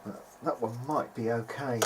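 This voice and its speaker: A man's voice: medium pitch, normal speed. A man giving informal workbench commentary as a hobbyist restorer, thinking aloud in a hesitant way. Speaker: hobbyist radio restorer, presenter. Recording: clear steady background noise.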